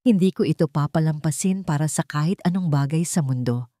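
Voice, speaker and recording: A woman's voice: deep voice, brisk, warm tone, dry recording, clean studio sound, close to an everyday voice. A Filipino woman voicing a polite, devoted young male lawyer in an audiobook love story. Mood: tender.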